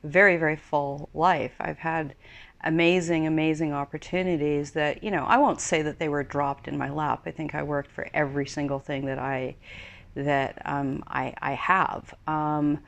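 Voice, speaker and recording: A woman's voice: sits low, steady tone, moderate pace, vocal fry. A Swiss-born woman in her fifties, an actress answering interview questions in a thoughtful way, often searching for words. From Switzerland. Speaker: actress and acting studio co-founder.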